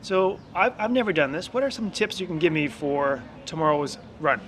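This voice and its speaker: A man's voice: on the high side, animated, moderate pace, a bit bright, slight background noise. A man hosting a casual podcast outdoors on campus steps, upbeat and joking as he asks his guests questions. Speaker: podcast host.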